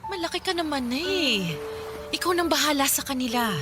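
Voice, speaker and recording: A middle-aged Filipino mother in a radio drama, resigned yet unbending. Her voice is fairly high, with prominent music behind it. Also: animated, brisk. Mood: resigned, firm. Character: weary, unyielding mother who left her family. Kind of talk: Tagalog radio drama acting.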